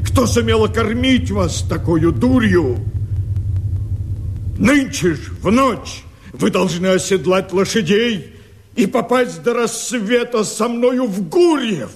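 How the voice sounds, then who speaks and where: Fiery high-pitched rebel leader. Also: male voice, lively intonation, slightly rough, heavily acted. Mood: furious, indignant. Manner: impassioned, oratorical, drawn-out phrasing. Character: fiery desperate male rebel leader rallying his men. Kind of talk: theatrical verse declamation in a radio play.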